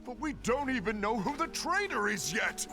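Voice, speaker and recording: A man's voice: very high-pitched, sing-song, normal speed. A man voicing an intense, hot-tempered young leader in a fantasy video game, questioning with dramatic force. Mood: indignant, urgent. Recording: game audio with music behind.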